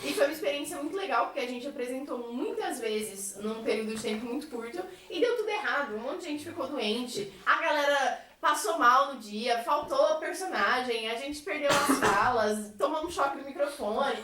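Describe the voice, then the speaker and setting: Echoey, higher-voiced young Brazilian woman. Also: expressive, normal speed, hardly any pauses, bright, forceful tone, slight background noise. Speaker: member of a science theatre group. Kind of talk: casual podcast conversation. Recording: echoey room, distant from microphone.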